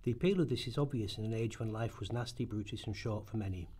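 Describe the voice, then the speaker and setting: A man's voice: lowish voice, fast talker, a little mellow, breathy edge. A man reading scripted historical narration as the narrator of a radio play.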